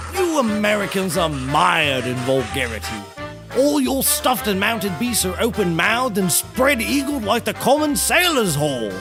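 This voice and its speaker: A man playing a fussy, prim British gentleman taxidermist with a florid, genteel way of talking in a scripted comedy. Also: high voice, exaggerated voice.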